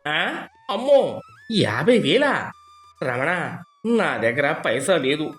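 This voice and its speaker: A man's voice: fairly high pitch, big pitch swings, slow, a bit bright, full character voice. A man voicing a cowardly, falsely concerned brother-in-law in an exaggerated, flustered way for a moral story. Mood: flustered, evasive. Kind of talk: dramatized moral story acting.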